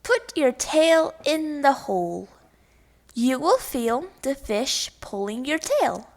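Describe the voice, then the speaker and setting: A woman plays a crafty storybook fox who teases and scolds. The voice is high and slow, with emphatic delivery. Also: very animated, exaggerated voice. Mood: instructing. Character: sly, scolding male fox in a children's fable.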